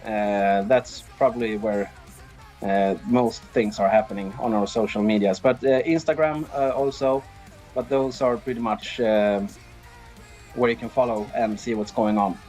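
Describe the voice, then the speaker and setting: A man's voice: fairly low pitch, steady tone, measured pace, a little mellow, soft background music. A middle-aged man with a Swedish accent, giving hesitant, easygoing answers in a casual interview. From Sweden. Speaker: interviewed band drummer.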